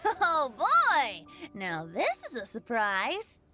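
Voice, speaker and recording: A woman's voice: high voice, wildly swooping pitch, very slow, full character voice. A woman playing a cheerful, breezy youthful bard in a video game, playful and showy when announcing. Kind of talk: English video game voice acting. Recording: music under the voice.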